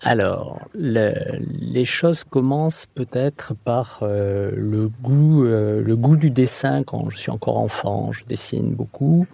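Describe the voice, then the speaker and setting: A man's voice: medium pitch, takes it slowly, creaky, hardly any pauses, warm tone, slightly rough, dry recording. A middle-aged man from the southwest, an architect answering interview questions hesitantly, with many fillers and restarts. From France. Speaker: architect guest.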